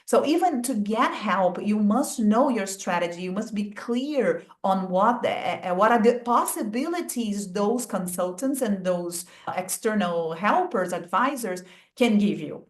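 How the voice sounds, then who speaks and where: A woman's voice: mid-range voice, expressive, unhurried. A woman hosting an interview, sharing thoughts reflectively and asking questions with hesitant restarts. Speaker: interview host. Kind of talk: conversational interview. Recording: echoey room.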